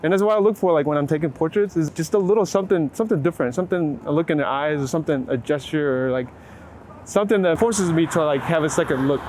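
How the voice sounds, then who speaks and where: A man's voice: a little high, a little mellow. A middle-aged man giving casual, reflective interview answers, often rewording his thoughts as he goes. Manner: halting, filler-heavy phrasing with frequent rewording. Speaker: photographer being interviewed. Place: outdoors in a city. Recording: outdoor city traffic noise. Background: urban ambience, vehicles.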